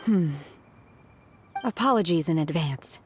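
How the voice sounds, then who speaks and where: Low, stoic young woman. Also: animated, slow pace, darkish tone, soft background music. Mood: calm, matter-of-fact. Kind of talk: video game voice acting.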